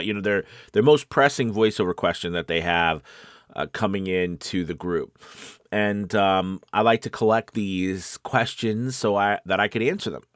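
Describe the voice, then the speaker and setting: Man, lowish voice, dry close sound, animated, emphatic, conversational, livestream coach and host. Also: no room sound. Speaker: host of a voice-acting coaching show. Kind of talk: casual livestream coaching talk.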